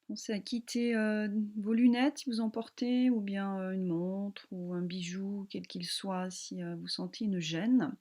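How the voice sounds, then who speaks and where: A woman yoga teacher guiding a relaxation meditation in a calm, gentle, soothing manner with long pauses. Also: mid-range voice.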